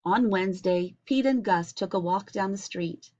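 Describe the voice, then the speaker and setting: A middle-aged woman reading a children's picture book aloud with expressive, deliberate phrasing. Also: medium pitch. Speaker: storybook reader. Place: small indoor home room. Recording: dull lo-fi webcam recording.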